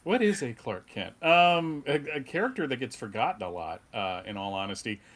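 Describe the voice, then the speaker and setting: A middle-aged man speaking casually as a fan panelist, in a halting, rambling, wry way. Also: medium pitch, lively intonation, a bit bright.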